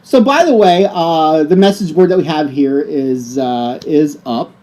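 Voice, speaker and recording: A man's voice: a little high, measured pace, a little mellow. A middle-aged man co-hosting a casual chat show, joking and teasing. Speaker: co-host.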